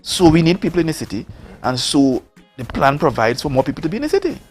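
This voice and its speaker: A man from Tobago with a Trinidad and Tobago accent, making a forceful political case on a morning talk show and repeating words for emphasis. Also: a little high, very animated, fast talker, slightly rough, faint music behind. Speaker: politician, guest.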